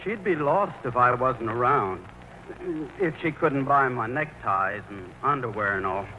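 A muffled, hissy old radio recording of a man acting a baffled, timid man in his late thirties. He is hesitant and earnest, stammering nervously, with a lively, swooping voice in a comedy play. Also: medium pitch, measured pace, close to an everyday voice. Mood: flustered, earnest. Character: baffled, timid 38-year-old mama's boy.

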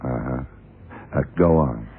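An actor playing a blunt, self-assured police detective in a 1970s radio drama, questioning deliberately and methodically. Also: male voice, extremely low pitch, husky, narrow, tinny audio, full character voice. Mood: probing, matter-of-fact. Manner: deliberate, probing, punctuated with yeah and right. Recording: noisy, band-limited old radio recording.

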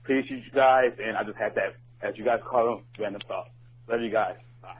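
Phone-line man, husky low voice. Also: fairly quick. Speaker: listener leaving a voicemail. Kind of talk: casual rambling phone message. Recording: muffled narrowband phone voicemail.

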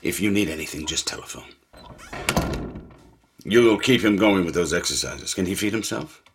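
An actor in a radio drama playing a brisk, soothing early 1900s family doctor with a husky voice. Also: male voice, low-pitched, a little hiss or hum. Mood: reassuring. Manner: professional, soothing, expressive.

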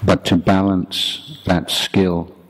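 A man guiding a meditation as a teacher, speaking in a measured, deliberate way in short phrases with long pauses. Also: deep voice, little pitch movement. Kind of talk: guided meditation talk. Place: youth retreat. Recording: clearly noisy background.

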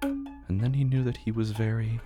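A man giving a scripted, dramatic narration of a horror story in a deliberate, intense manner. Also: on the low side, fairly even intonation, over music. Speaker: narrator of a horror fiction podcast.

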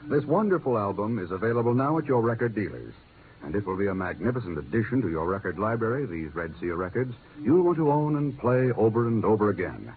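A man's voice: on the low side, big pitch swings, quick pace. A man reading a scripted 1950s radio advertisement as announcer, polished and emphatic. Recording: narrow, noisy old radio recording.

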